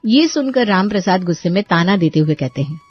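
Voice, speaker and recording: A woman's voice: on the low side, animated, brisk, no room sound, highs cut off, faint music behind. A woman narrating a scripted story as its storyteller.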